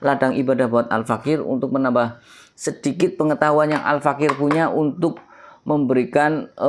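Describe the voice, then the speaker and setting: A man with a stuffy nasal voice, hosting his own keris channel. He opens with long prayers, then explains a blade in a measured way, with pauses and fillers. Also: medium pitch. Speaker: keris collector and channel host. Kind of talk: slow devotional-toned explanation to camera.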